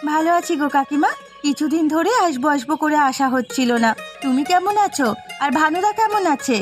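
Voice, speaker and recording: A woman's voice: high-pitched, moderate pace, soft background music, close to an everyday voice. A woman voicing a friendly, practical, helpful village woman in a fairy-tale cartoon.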